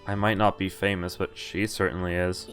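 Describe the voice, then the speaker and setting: A man streaming a visual novel, reading its lines aloud and breaking off to react and explain, with animated exclamations and groans. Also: deep voice, moderate pace, faint music behind.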